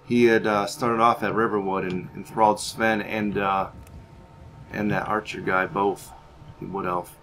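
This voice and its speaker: A man with a fairly low voice commentates a roleplay game. He talks casually, drifting between muttered asides and theatrical in-character lines. There is faint noise and game ambience behind him. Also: little pitch movement, unhurried, fairly bright tone. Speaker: gaming video host.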